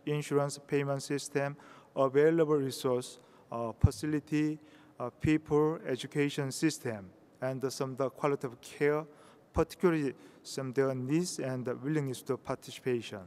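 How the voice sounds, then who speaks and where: A man from South Korea with a Korean accent giving a conference talk in English, halting often with hesitations. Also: mid-range voice, steady tone, darkish tone. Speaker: conference speaker. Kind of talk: conference presentation. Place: conference hall. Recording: reverberant hall.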